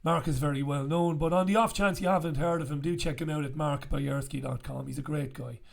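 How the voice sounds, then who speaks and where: A croaky, gravelly-voiced man hosts a casual talk to camera from a small room, speaking into a studio mic. His voice sits a little high for a man, and his talk is blunt and chatty, with the odd swear word. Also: fairly even intonation, a little fast. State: croaky after illness. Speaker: host. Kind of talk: casual direct-to-camera chat. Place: small bright room indoors. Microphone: studio mic on stand in front.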